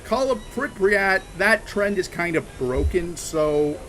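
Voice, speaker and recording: A man chatting casually on a game livestream, talking in a halting, rambling way with many restarts and fillers. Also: high-pitched, animated, fairly bright tone, slight background noise. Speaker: streamer. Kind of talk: casual livestream chat while gaming.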